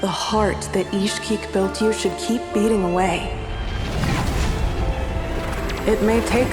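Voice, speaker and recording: Woman acting a slow-spoken, echoing, wistful young inventor. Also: medium pitch, natural voice. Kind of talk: video game voice acting. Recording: reverberant, echoing game cutscene audio.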